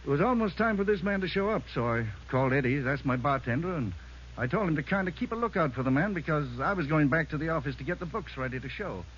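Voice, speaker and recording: An actor playing an anxious, talkative bar owner in an old radio crime drama. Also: male voice, fairly high pitch, expressive, quick pace, a bit bright. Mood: anxious, explaining. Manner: agitated, chatty, anxious. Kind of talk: 1940s-50s radio drama acting. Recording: muffled old radio recording, faint noise.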